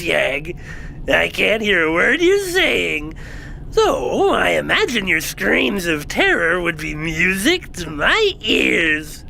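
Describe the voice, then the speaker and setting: A performer voicing a calm but vengeful male insectoid alien pilot with a cold, mocking manner. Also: fairly low pitch, gravelly, slight background noise, exaggerated voice. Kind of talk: audio drama acting.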